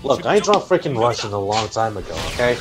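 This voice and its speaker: A young man talks casually over clearly audible music. His pitch is animated, and he is blunt and slangy, doubling back on his own phrases. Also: brisk. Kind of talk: casual voice-chat on a game stream. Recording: music behind the voice.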